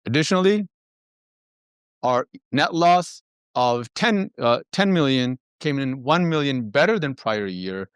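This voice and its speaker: Guy doing the numbers on an earnings call, businesslike, medium pitch, dry sound. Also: lively intonation, measured pace, clear, resonant tone, no room sound. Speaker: company finance executive. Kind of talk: earnings call presentation, financial report.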